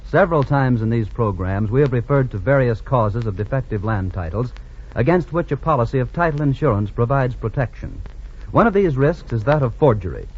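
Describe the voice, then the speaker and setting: An American man reading a scripted sponsor message as a 1940s radio announcer, formal and measured. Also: medium pitch, slightly rough. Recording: muffled vintage radio recording.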